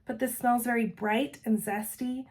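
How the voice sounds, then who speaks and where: A woman's voice: on the high side, fairly even intonation, a little mellow. Chatty woman, voice still recovering. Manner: chatty, enthusiastic, describes scents slowly. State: recovering voice after illness. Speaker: vlogger reviewing scented wax. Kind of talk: casual product review.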